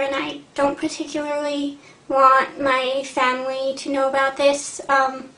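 A young woman talking to camera in a casual vlog, hesitant and earnest, with many pauses and fillers. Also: high voice, fairly bright tone. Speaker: vlogger. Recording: echoey room.

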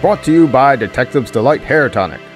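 A man's voice: mid-range voice, expressive, a little fast, faint music behind, natural voice. A man voicing a gushing old-time radio announcer introducing a mystery show with dramatic promotional flair. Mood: suspenseful.

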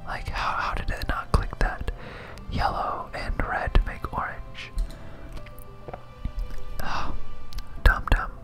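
A gamer speaking in a breathy whisper, giving soft, rambling ASMR commentary while playing a video game. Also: slow pace, long pauses, music in the background.

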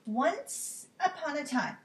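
A middle-aged woman with a fairly high voice reads to children as a teacher would. Her delivery is expressive and deliberate, with clear emphasis on repeated phrases. She is recorded at home in a living room. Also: slight background noise. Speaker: teacher reading a storytime book. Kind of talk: read-aloud children's storytime.

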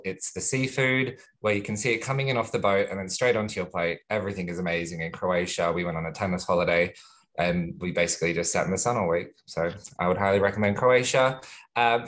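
A man with a softened Australian accent, introducing himself on a webinar in an upbeat, chatty way. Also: low voice. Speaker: sustainability manager at a nursery group. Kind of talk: friendly self-introduction.

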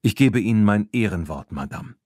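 A man voicing a smooth French horse dealer in an audiobook, courteous and ceremonious. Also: on the low side, expressive, normal speed, a little mellow, no room sound, studio quality. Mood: solemn, courteous. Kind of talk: audiobook character reading.

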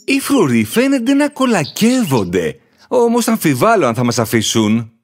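Expressive man voicing storybook characters. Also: a little high. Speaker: voice actor for several male characters. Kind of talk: animated storybook character voices. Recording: music bed behind the voice.

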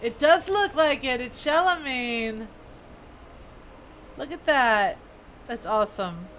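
A woman's voice: high voice, expressive, takes it slowly, a bit bright, a little hiss or hum. A woman giving casual live commentary as she plays a game on stream. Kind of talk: live gameplay commentary, reading quest text aloud.

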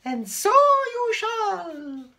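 A man doing a high, grand fairy godmother spider. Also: sing-song, extremely slow, gravelly, fairly bright tone, roomy sound, exaggerated voice. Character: magical female hairy godmother spider, bossy.

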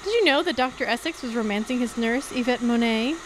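A woman's voice: on the high side, brisk, slight background noise, close to an everyday voice, rough recording. A young woman playing a sassy, suspicious female private eye in a 1940s-style radio drama, with an arch, wry manner. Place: live on a stage before a curtain. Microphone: stand microphone.

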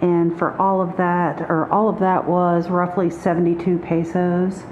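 A woman's voice: on the low side, level tone, a little slow, darkish tone. A middle-aged woman narrating a vlog in a casual, plain-spoken way, listing things in a kitchen. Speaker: vlogger. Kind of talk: casual grocery haul narration. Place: hard-surfaced kitchen indoors. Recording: echoey, muffled, noisy room recording.

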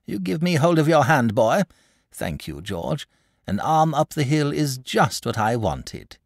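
A man's voice: medium pitch, sing-song, a little slow, gravelly, no echo, studio quality, pitched above the performer's own voice, heavily acted. A man voicing a lazy, jovial, self-satisfied dragon in a storybook, with a blustery, sleepy drawl. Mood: blustering, indignant. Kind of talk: storybook character voice.

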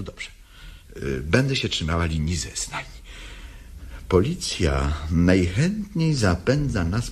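A defiant old woman declaims a radio play monologue in a husky voice. The delivery is theatrical and slow, with pitch that swings widely between hushed confiding and outcry. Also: full character voice. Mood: confiding, wistful.

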